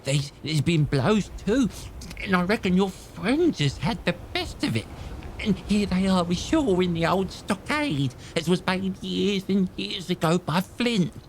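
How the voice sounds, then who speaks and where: A man's voice: high voice, lively intonation, measured pace, a little hiss or hum, rough recording. An actor playing a half-crazed old male castaway who stammers, rambles and repeats himself. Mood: wheedling. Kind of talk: radio drama acting.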